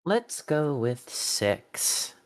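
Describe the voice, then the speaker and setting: A man giving a casual post-game interview as a player, thinking aloud in a halting way. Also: on the high side, sing-song.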